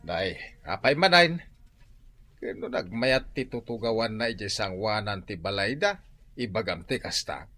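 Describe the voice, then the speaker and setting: A man with an Ilocano Filipino accent playing an exasperated, teasing father figure in a comedy radio drama, scolding in an animated way. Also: on the low side, unhurried, no echo, faint music behind, heavily acted.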